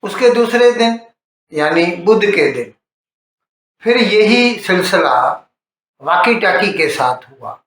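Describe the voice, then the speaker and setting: Slow, high-voiced man in an echoey room. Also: animated, a bit bright. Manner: storytelling, repeats and rephrases for emphasis. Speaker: news commentator. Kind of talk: conversational explainer monologue on current affairs. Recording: strongly echoing, reverberant room.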